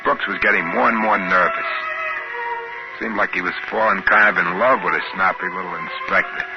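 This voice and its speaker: An actor playing a plain, folksy trading-post worker who also narrates a 1950s science fiction radio drama. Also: male voice, low-pitched, steady tone, moderate pace. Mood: wry storytelling. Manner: folksy, colloquial. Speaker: narrator and character. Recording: muffled old radio broadcast with music.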